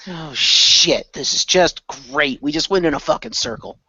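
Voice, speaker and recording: A man's voice: fairly high pitch, measured pace, pushed and bright, breathy edge, dry recording, highs cut off. A man playing a role-playing game, improvising third-person narration and speaking in character.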